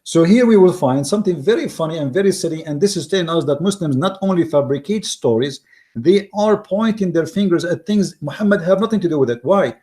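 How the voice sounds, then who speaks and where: A man with an Arabic accent, his voice sitting a little high for a man, speaks in the mocking, emphatic tone of an online commentator. He hammers points by repeating words and often stumbles and restarts his non-native English. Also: expressive, brisk, mellow. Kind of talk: argumentative commentary reading from an article.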